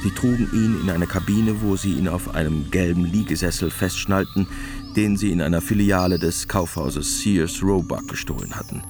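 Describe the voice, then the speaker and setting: Deep-voiced male narrator over music. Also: moderate pace. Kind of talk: scripted radio drama narration.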